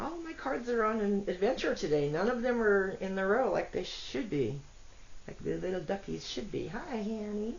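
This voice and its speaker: A woman with a congested, rough voice gives a chatty tarot reading, talking to her cards and her viewers. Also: mid-range voice. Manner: chatty, self-deprecating, talks to cards and viewers. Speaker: tarot reader. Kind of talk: casual solo tarot reading. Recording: dull lo-fi audio with faint noise.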